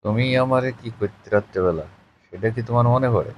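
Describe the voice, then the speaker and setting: A man's voice: medium pitch, moderate pace, slight background noise, lightly acted, low-quality recording. A man playing a teasing lover who lives away from his partner, casually probing in an intimate late-night phone chat. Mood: reassuring.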